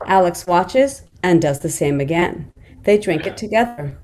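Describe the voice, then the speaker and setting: A woman with a soft-toned, mellow and slightly low voice reads screenplay action lines as narrator. There is faint noise on the recording. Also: expressive, unhurried. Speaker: narrator reading stage directions. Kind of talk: screenplay table read narration.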